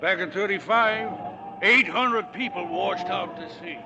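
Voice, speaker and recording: A man's voice: high voice, measured pace. An actor playing a grave, defiant old man in 1940s radio drama, solemn, ominous and declamatory. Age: older. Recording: muffled old radio, loud crowd-like din.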